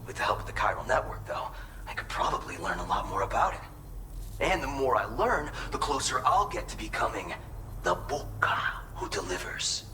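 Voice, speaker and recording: A man's voice: lowish voice, sing-song, normal speed, pushed and bright, slight background noise. A man acting a folksy, earnest mountain porter in a video game, talking conversationally and with pride. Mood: admiring.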